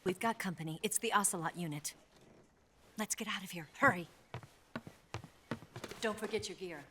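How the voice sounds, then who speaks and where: A woman's voice: mid-range voice, moderate pace, natural voice. A woman playing a cool, flirtatious spy and guide with a coy, teasing manner in a cinematic video game. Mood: urgent. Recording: clearly noisy background.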